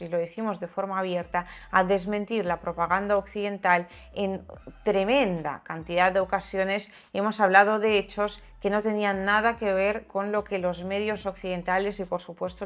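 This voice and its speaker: Opinionated woman giving political commentary. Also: mid-range voice. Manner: opinionated, sarcastic, conversational. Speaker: video channel host and commentator. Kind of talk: political commentary to viewers, part read aloud.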